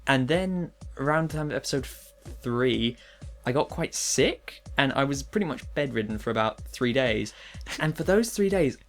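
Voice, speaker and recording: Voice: mid-range voice, expressive, normal speed. A young adult co-creator and video editor chatting casually, thinking aloud while answering questions. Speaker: co-creator and video editor of a fan parody series. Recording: music with plucked guitar behind the voice.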